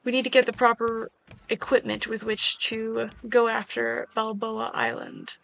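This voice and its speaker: A woman with a slightly hoarse voice giving casual, chatty commentary while she plays a survival game, making wry asides to herself. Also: fairly high pitch, little pitch movement, a little slow, a bit bright, low-quality recording. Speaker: gaming video creator.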